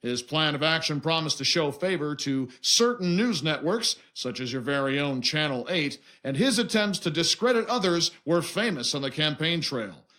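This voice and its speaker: A polished male television anchor in an audio drama. His voice is a touch high for a man, and he reads the script with serious, measured broadcast formality. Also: lively intonation, pushed and bright. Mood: formal, composed.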